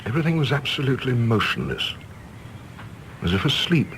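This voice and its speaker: An actor playing a modest, wistful gentleman in a radio drama, polite, understated and reflective. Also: mid-range voice, very animated, fairly quick, slight background noise.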